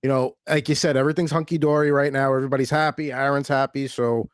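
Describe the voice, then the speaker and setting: A man in casual sports chat as a fan on a talk show, earnest and measured, stumbling into restarts. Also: mid-range voice, fairly even intonation, clean tone, no echo, studio quality.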